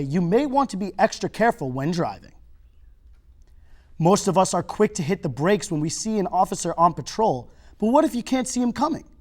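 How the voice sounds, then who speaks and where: Young male campus news reporter, high and bouncy read. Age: young adult. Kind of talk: scripted news narration.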